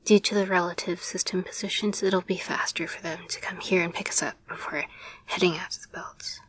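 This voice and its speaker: A woman playing an affectionate, playful girlfriend and caretaker in an intimate roleplay audio, speaking in a soft, breathy whisper with a gentle, hesitant murmur. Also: lowish voice, steady tone, fairly quick, natural voice. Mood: calm, informative.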